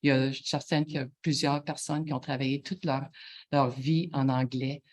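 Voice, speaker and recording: A middle-aged woman with a Franco-Ontarian French accent, answering interview questions haltingly, often stopping to search for words. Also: extremely low pitch, fairly even intonation, a little mellow. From Ontario, Canada. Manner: halting, many fillers and restarts, searching for words. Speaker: guest, chief executive.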